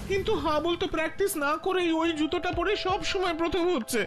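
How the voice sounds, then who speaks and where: A man's voice: very high voice, fairly even intonation, fast, music in the background. A man voicing an earnest, pleading young boy runner in a cartoon dub, who often repeats himself. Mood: pleading, curious. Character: earnest, dejected boy runner eager to win. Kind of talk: cartoon dubbing.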